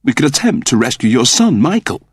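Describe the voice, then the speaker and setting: A man's voice: mid-range voice, big pitch swings, fairly quick, breathy edge, dry recording, studio quality, voice pushed up. A man voicing a polite, anxious robot servant, deferential and formal, in an audiobook story. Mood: persuasive. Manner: deferential, formal, precise wording.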